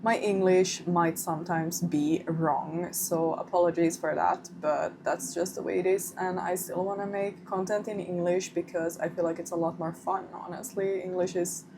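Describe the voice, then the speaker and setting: A woman's voice: lowish voice, fairly even intonation, moderate pace, few pauses, background noise. A young woman with a Swedish accent, Swedish her first language, chatting casually to camera in her home kitchen as a vlogger and often rephrasing herself.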